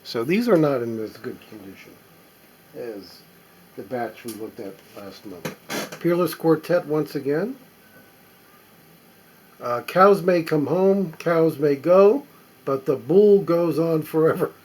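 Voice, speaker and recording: A man casually talking to himself as he sorts a stack of old records, reading the labels aloud in a halting, musing way. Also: a little high, animated, a little mellow, a little hiss or hum, rough recording. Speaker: record collector. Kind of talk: casual running commentary while sorting records.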